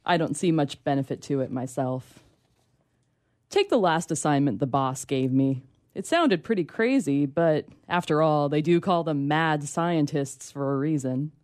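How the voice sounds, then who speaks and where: A woman's voice: sits low, measured pace, darkish tone, dry recording, clean studio sound. A woman reading comic fiction as a hard-of-hearing, grumbling young apprentice to a mad scientist, with wry storytelling. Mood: weary grumbling.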